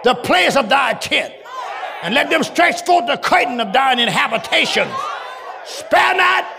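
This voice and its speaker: A man preaching a sermon to his congregation, shouting and chanting in a call-and-response style. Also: extremely high pitch, animated, measured pace, gravelly. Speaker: pastor. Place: large church. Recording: crowd and noise behind, loud. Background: congregation crowd.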